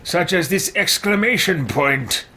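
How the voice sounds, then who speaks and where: A man voicing a haughty, dry-witted male mage in a video game roleplay, speaking deliberately. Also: high voice, expressive, bright, forceful tone, slight background noise, pitched above the performer's own voice, full character voice. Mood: dry, inquisitive.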